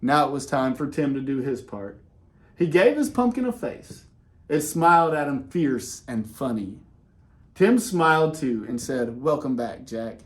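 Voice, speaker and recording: A man reading a children's picture book aloud in an expressive way, with dramatic pauses and casual, conversational delivery. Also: medium pitch. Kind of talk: animated read-aloud with casual asides.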